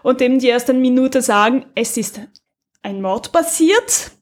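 A woman's voice: high-pitched, animated, normal speed. A woman co-hosting a podcast in a casual chat, explaining a recommendation and often searching for her words. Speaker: podcast co-host.

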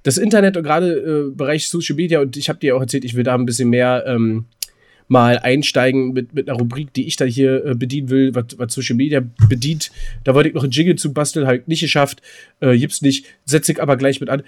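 A man's voice: medium pitch, steady tone, a little fast. A man co-hosting a podcast, chatting colloquially and repeating himself for emphasis. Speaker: podcast co-host. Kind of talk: casual podcast chat.

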